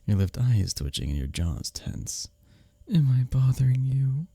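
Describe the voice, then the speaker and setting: A man playing a smug, taunting office rival in an intimate roleplay audio drama, sardonic and teasing, with a husky low voice. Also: sing-song, moderate pace, dark tone, dry recording, clean studio sound, heavily acted. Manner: sardonic, teasing, needling, with breathy chuckles.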